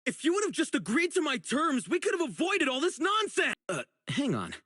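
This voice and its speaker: A man voicing an earnest, righteous young public security officer in a video game, dutiful and excitable, with dramatic delivery. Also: very high-pitched, brisk, heavily acted. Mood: outraged, shouting, then confused, flustered. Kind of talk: isolated game character voice lines, dramatic acting.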